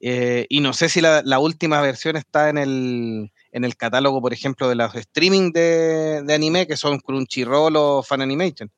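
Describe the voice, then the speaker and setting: A man co-hosting a casual anime podcast, chatty and quick to laugh, often restarting his sentences. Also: mid-range voice, clear, resonant tone, no room sound, highs cut off. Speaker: podcast co-host. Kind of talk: casual anime talk with co-hosts.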